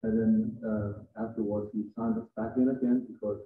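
A man's voice: little pitch movement, moderate pace. Man lecturing, distant tinny room recording. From Denmark. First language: Danish. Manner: conversational, quizzes the audience. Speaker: guest presenter. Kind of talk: lecture presentation. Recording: distant, roomy, tinny with faint rumble.

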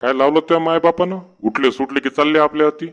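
A man's voice: a little high, fairly even intonation, fast talker, dull audio, lightly acted. A man voicing a henpecked, sarcastic village husband in a comedy cartoon, complaining in rural Marathi of Vidarbha. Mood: grumbling, exasperated.

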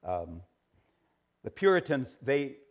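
Middle-aged man, Sunday school teacher, mid-pitched, animated, filler-heavy delivery in a small church room. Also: takes it slowly. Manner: animated, gesturing, many fillers and restarts, trails off quietly. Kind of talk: church history lesson. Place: small church sanctuary, indoors.

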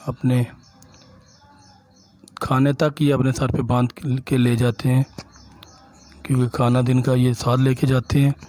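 A man, a village vlogger from Punjab, calmly explaining sights to viewers while he walks outdoors and films on his phone. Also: medium pitch, no echo. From Punjab, Pakistan. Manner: calm, explanatory, addresses viewers directly. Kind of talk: walking tour narration.

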